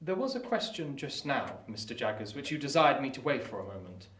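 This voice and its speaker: An earnest young gentleman, formal and polite, in a middling pitch. The sound has noticeable room echo. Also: very animated, a little fast, a little hiss or hum. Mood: polite, hesitant. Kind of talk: audio drama acting.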